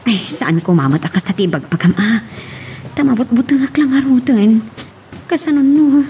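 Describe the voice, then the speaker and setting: A woman's voice: on the high side, normal speed, dark tone, a little hiss or hum, natural voice. A woman playing a hardworking, homesick mother working abroad in a radio drama, speaking with an Ilocano accent. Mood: troubled, weary.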